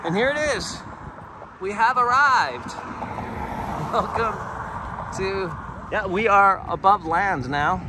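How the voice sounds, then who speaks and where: A man's voice: high-pitched, slow pace, long pauses. Chatty New man walking outdoors. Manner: chatty, digressive, tour-guide explaining. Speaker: walking tour vlogger. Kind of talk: casual walking narration. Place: outdoors on a bridge footpath by the sea. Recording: outdoor street noise. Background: traffic and wind noise.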